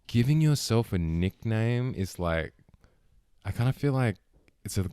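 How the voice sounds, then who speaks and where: Deep-voiced man, casual podcast chat. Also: lively intonation, measured pace, warm tone, smooth, dry recording. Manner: rambling, full of "like" and restarts. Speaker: podcast host.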